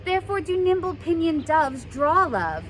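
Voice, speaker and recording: A woman's voice: very high-pitched, big pitch swings, normal speed. A woman reading the part of a lovesick, impatient teenage girl in a stage play, dramatic and pleading, with some noise behind her. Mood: impatient longing.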